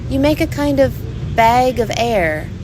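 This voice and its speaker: An earnest young storybook girl read by a woman, higher and lively in pitch, asking and explaining in a practical way. There is clear noise on the recording. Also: a little slow. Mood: earnest, curious. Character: young girl, practical, curious, plain-spoken.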